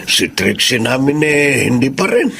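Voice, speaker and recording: A man's voice: mid-range voice, big pitch swings, a little slow, creaky, bright, forceful tone, breathy edge, heavily acted. A Filipino man playing a worried, forgetful middle-aged father in a radio drama, folksy and rueful.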